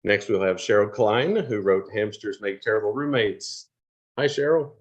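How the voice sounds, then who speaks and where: Low-voiced man hosting an awards event. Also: expressive, moderate pace, lo-fi sound. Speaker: award program host. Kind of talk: unscripted announcing at an online event.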